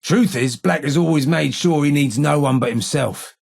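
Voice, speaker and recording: Husky, gravelly old London informer. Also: male voice, on the high side, normal speed, a little mellow. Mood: matter-of-fact. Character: blind old male London gang informer, weary and guilt-ridden. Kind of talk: video game character dialogue.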